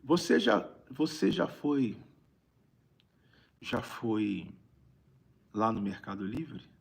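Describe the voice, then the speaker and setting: Gravelly Brazilian man preaching informally. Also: on the low side, expressive, extremely slow. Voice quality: raspy, gravelly. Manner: conversational, repeats phrases for emphasis. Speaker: bishop, preacher hosting a live stream. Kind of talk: informal livestream preaching, reading viewer greetings.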